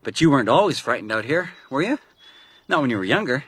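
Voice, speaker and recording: A needling younger male hiker, played by an actor in a radio drama, chatty and insistent. His pitch is lively and swings widely, with birdsong and faint noise behind. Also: fast, close to an everyday voice. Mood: probing.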